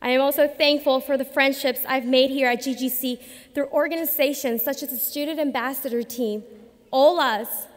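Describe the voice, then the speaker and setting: A young woman in her twenties gives a formal graduation speech at a lectern, earnest and measured, with emphatic pauses. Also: sits high, steady tone. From United States. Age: 20s. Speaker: student commencement speaker. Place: large arena at a lectern. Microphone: podium microphone. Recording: long arena reverb.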